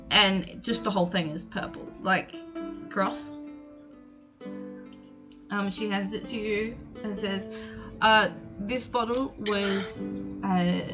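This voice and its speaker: A woman in her twenties running a tabletop game on a group video call, chatty and quick to laugh. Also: medium pitch. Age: 20s. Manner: giggly, chatty, rambling narration. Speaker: game master.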